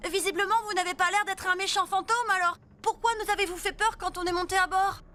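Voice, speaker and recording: Piping, good-natured pirate kid. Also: female voice, extremely high pitch, steady tone, fast, pushed and bright, slight background noise. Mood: curious, helpful. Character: young pirate child crewmate, helpful, good-natured. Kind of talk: French children's cartoon dubbing. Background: background music.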